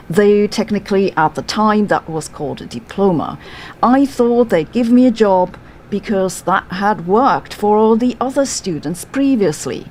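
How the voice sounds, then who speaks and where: A woman's voice: mid-range voice, darkish tone, slight background noise. A middle-aged woman with a German accent who reads a scripted personal story in a dry, sardonic, matter-of-fact way. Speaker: physicist telling her story. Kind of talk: scripted first-person narration.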